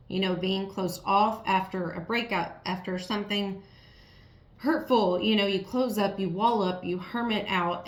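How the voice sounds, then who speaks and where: Woman reading tarot cards, voice all raspy and strained, pretty frank, echoey room. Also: medium pitch, a little hiss or hum. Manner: frank, repetitive, talks straight to the viewer. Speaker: tarot reader. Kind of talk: casual tarot reading to viewers. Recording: roomy echo.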